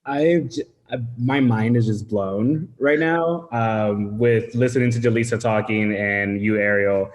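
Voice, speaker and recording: A man taking part in an online meetup, speaking in excited, heartfelt bursts. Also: fairly low pitch, measured pace, in a large hall. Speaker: meetup participant. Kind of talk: casual group video chat.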